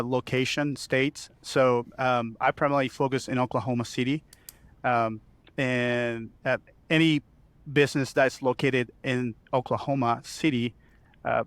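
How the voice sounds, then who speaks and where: Man, banker guest, even flat delivery, smooth clear voice, dry close sound, lots of ums. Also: mid-range voice, no room sound. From Chile. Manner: steady, many ums and uhs. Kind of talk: casual podcast interview.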